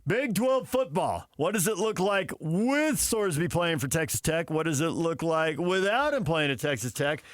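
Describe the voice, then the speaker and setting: A man hosts a sports talk show in an easy, conversational, bantering style. His voice is somewhat high and recorded close and dry, as in a radio studio. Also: expressive, moderate pace, hardly any pauses, dry recording.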